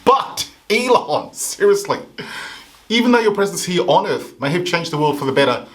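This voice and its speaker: A man's voice: sits high, sing-song, moderate pace. A man from Africa hosting a comedy show, delivering a satirical monologue with sarcastic, punchy mock outrage.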